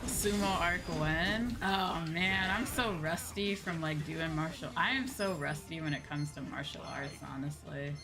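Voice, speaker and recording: Game music plays clearly behind a woman with a fairly low voice. She streams casual live commentary, chatting informally and thinking aloud to her viewers. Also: unhurried, hardly any pauses. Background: video game music.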